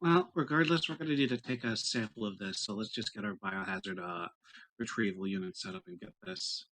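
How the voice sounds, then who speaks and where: A man's voice: lowish voice, lively intonation, brisk, a bit bright. A man talking casually as a player in a tabletop roleplaying game.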